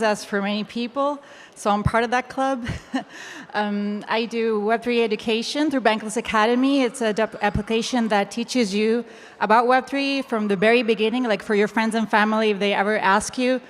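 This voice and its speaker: Reverby hall, chilean lady, spanish is her first language, talking on a panel, feeling for words a bit. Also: a little high. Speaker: panelist, Web3 educator. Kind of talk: panel discussion answer. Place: summit event room. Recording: echoey hall with long reverb.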